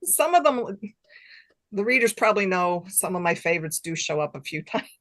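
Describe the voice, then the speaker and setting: A woman's voice: fairly low pitch, normal speed, no room sound. A woman guest chatting casually in an interview, often laughing as she talks and acting out imagined exchanges. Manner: animated self-quoting, acting out imagined exchanges; giggly, laughs often while talking. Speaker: guest author.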